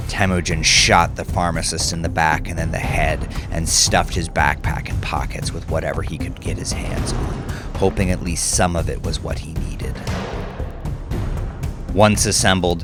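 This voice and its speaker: A man narrating a dramatic scripted audiobook, with deliberate, measured phrasing. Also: low voice. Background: background music.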